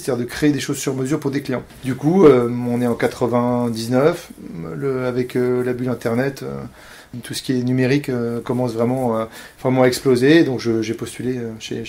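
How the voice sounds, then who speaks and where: A man's voice: medium pitch, a little mellow. Hesitant middle-aged Parisian man. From Paris, France. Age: 40s. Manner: hesitant, many fillers and restarts. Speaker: interview guest, company founder. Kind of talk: casual interview talk.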